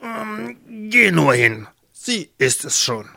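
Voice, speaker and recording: Mann spielt knarzigen, schroffen Zauberbutt. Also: male voice, high-pitched, sing-song, slow, gravelly, sharp tone, pitched above the performer's own voice, exaggerated voice. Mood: curt. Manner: curt, impatient. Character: magic talking flounder, brusque wish-granter.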